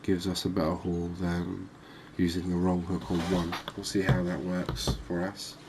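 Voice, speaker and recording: A man giving calm, unhurried, soft-spoken ASMR gameplay commentary, musing aloud as he plays. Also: low-pitched, noisy background. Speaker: gaming video host.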